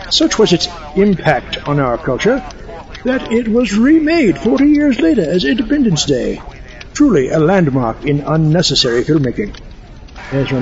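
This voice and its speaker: A haughty, fussy mad-scientist doctor acted by a man in a comic audio play. His delivery is wordy and wry, fairly high and animated, on slightly muffled sound. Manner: pompous, wry, wordy, mock-erudite. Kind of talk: comic audio-drama monologue.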